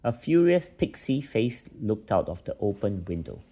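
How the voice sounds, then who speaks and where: A man's voice: on the low side, big pitch swings, normal speed, mellow. A man reading a children's bedtime story aloud as a narrator.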